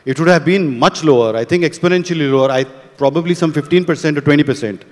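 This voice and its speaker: A man on a conference panel runs quickly through charts and audience figures, stumbling now and then on fillers. His voice sits a little high. It reaches us through a handheld mic in a large, reverberant hall. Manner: rapid, data-heavy delivery with fillers. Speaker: panelist presenting audience data.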